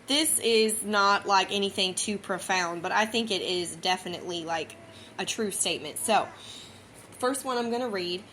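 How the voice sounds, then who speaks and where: A woman's voice: medium pitch, moderate pace, pushed and bright, a little hiss or hum. A young woman from Missouri with a southern accent, chatting casually and rambling with lots of fillers as she hosts her own podcast. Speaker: podcast host. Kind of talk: casual chat.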